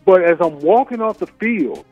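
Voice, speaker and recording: Ex-player guy remembering the game, easygoing, a bit high, dull-ish sound w/ faint music. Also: animated, moderate pace, a little mellow. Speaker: former football player. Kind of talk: documentary interview.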